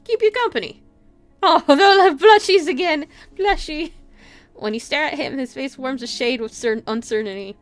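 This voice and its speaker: Girl streamer reading visual novel out loud, kinda high voice, playful comments, game music behind. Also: moderate pace. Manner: playful, giggly asides. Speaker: game livestreamer. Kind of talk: visual novel read-aloud with casual commentary.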